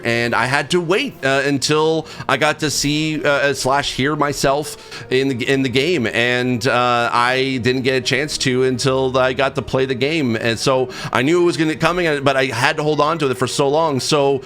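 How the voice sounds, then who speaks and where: A man's voice: mid-range voice, steady tone, moderate pace, fairly bright tone. A man hosting his own video, explaining things in a personal narration. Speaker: host, video creator and voice actor. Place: office, own recording setup. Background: music.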